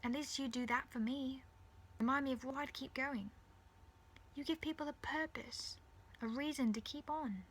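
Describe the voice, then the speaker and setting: A woman's voice: high voice, normal speed, slightly rough, pitched above the performer's own voice. A woman voicing a tender, reassuring middle-aged mother, soothing and earnest, in a dramatised story reading. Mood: consoling.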